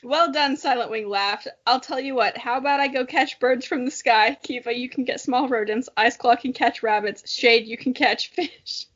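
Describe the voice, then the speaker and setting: High-voiced young woman, playful dramatic reader. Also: normal speed, hardly any pauses, fairly bright tone. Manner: giggly, playful, self-mocking. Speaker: podcast co-host. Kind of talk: casual dramatic reading with chatty commentary. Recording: dull lo-fi audio.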